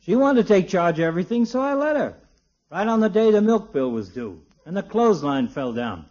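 An actor playing a talkative, cheeky small-town barber in a 1950s radio comedy, slangy and folksy. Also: male voice, high-pitched, very animated, a little fast, a little mellow. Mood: sly, teasing. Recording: dull, muffled old radio recording.

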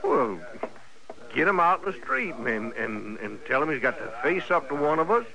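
An actor playing a scheming, mocking cowboy troublemaker with a folksy American Western drawl in a radio western. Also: male voice, on the high side, very animated, normal speed, vocal fry. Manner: folksy, taunting, stammering as he schemes. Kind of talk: 1950s radio western acting. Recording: vintage radio recording, crowd noise.

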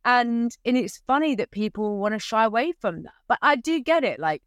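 A woman coach talks in a relaxed, conversational way, her voice on the deep side. She gets animated when she retells stories, and the recording is close and dry. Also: normal speed, dry recording. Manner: animated, acts out quotes with rising pitch. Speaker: communication coach, guest. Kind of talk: casual conversation.

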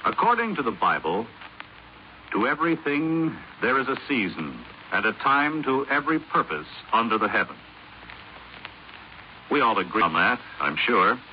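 A man's voice: mid-range voice, very animated, moderate pace. An American man reading a scripted 1950s radio public service announcement in a polished, explanatory way. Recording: muffled, hissy 1950s radio recording.

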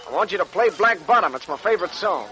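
A man's voice: high voice, expressive, fast, sharp tone, faint music behind. A man playing a swaggering, boastful gangster bank robber in a 1940s radio crime drama, talking in emphatic tough-guy slang. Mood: showing off. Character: cocky, swaggering male gangster bank robber craving money and nightlife. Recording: noisy vintage 1940s radio recording.

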